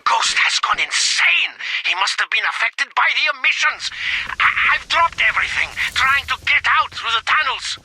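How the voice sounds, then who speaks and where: A man's voice: very high-pitched, animated, normal speed, full character voice. A man playing a desperate, lost researcher in a video game, stammering frantically over a radio. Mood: frantic. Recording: faintly noisy, sharp radio transmission.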